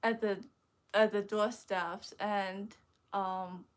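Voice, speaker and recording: A young woman from China, a guest on a livestream, speaking hesitantly with many pauses and fillers. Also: medium pitch, fairly even intonation. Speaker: guest and chat moderator. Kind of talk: casual talk, reading chat comments. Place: living room with bookshelves, indoors.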